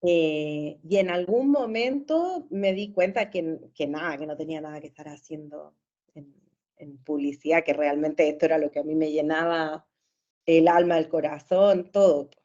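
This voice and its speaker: A Chilean woman in her forties, a therapist talking casually as a guest, cheerful and quick to laugh, with frequent fillers. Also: low-pitched, dark tone, highs cut off. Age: 40s. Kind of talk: casual conversation. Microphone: video call.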